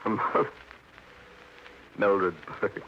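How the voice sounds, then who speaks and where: An American actor playing a bitter, self-pitying young drunk in a 1940s radio crime drama, with florid, mock-grand delivery. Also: male voice, fairly low pitch, little pitch movement, slow, slight background noise. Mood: bitter, sardonic. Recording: dull vintage radio recording with music behind.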